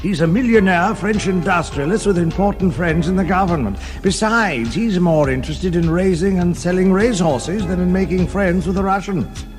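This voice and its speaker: An actor playing a cautious, authoritative intelligence chief, brisk and official, in an audio storybook. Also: male voice, high-pitched, natural voice. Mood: skeptical, cautioning. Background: background music.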